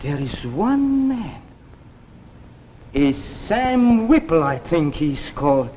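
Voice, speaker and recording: An actor playing a weary, solemn elderly scientist of a doomed future in a 1950s science fiction drama, speaking with theatrical deliberation. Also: male voice, a little high, very animated, slow pace, exaggerated voice. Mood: musing, hopeful. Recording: muffled vintage 1950s broadcast, reverberant, music behind.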